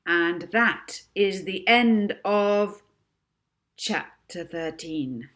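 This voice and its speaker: A woman's voice: mid-range voice, extremely slow, a bit bright. A woman narrating a children's storybook expressively, as an author reading her own book.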